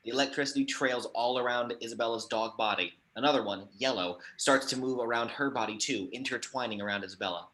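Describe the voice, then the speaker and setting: A man's voice: medium pitch, fast, few pauses, pushed and bright, rough recording. Man narrating screenplay stage directions. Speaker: narrator of action descriptions. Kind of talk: scripted screenplay narration, table read.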